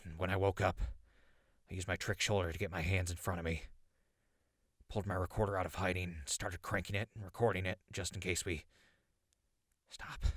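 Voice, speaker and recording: An actor playing a rattled captive survivor in a post-apocalyptic audio drama, muttering halting notes into his recorder in a gravelly, husky voice. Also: low voice, a bit bright, no echo, studio quality. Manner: halting, muttering to himself, exclaiming.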